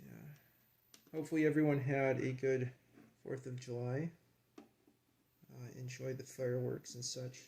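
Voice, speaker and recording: A man with a creaky voice talking casually and haltingly, half to himself, as he narrates a hands-on hobby task. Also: mid-range voice, dark tone. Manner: halting, muttering to himself while handling parts. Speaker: hobbyist presenter. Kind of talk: casual hands-on vlog narration while working.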